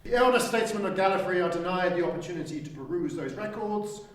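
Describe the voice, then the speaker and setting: A man auditioning as a grave, stately alien lord, speaking in measured oratory for an audio drama. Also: high voice, pitched above the performer's own voice, lightly acted. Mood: grave, declamatory. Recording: very echoey room, distant mic, faint noise.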